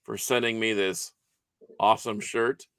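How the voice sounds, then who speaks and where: Low, smooth, bright-voiced man. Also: level tone, unhurried, no room sound. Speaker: radio show host and podcast co-host. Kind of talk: casual chat.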